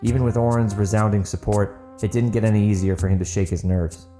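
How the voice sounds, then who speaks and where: A man's voice: fairly low pitch, steady tone, quick pace, mellow, faint music behind. A man reading a scripted story as a dramatic audiobook narrator.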